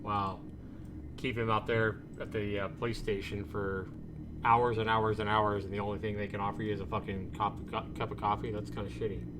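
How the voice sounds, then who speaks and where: A man's voice: on the low side, level tone, fairly quick, background noise. A man giving casual, blunt, foul-mouthed live commentary as he plays through a game. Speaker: game streamer. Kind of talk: live playthrough, casual commentary and reading game text aloud.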